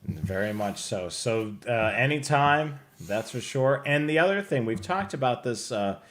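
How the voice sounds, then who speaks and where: A man's voice: mid-range voice, lively intonation, measured pace, a bit bright. A middle-aged man with an American accent, presenting casually as host of a hobby livestream from a room at home. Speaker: host of a radio scanner show. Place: indoor home room on a video call.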